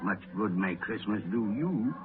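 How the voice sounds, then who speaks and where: A man's voice: on the low side, big pitch swings, slow, soft background music. An actor playing a grim, miserly old businessman in 1930s radio drama, scornful and irascible, barking and muttering theatrically. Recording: scratchy, narrow-band 1930s radio broadcast.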